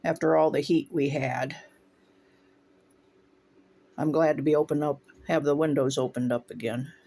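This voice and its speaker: A man's voice: a little high, normal speed, creaky. A man from Northwest Ohio, casually explaining stained glass steps as he works in his home studio and thinking aloud between tasks. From Northwest Ohio, USA. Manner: relaxed, talks while working, thinks aloud. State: runny nose, voice briefly faltering.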